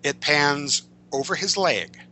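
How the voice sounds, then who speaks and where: Very bright, forceful man explaining his craft. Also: on the high side, lively intonation, a little hiss or hum. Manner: digressive, emphatic, halting delivery. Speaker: interview guest, comic book and screen writer. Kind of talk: conversational explanation of film and comics craft.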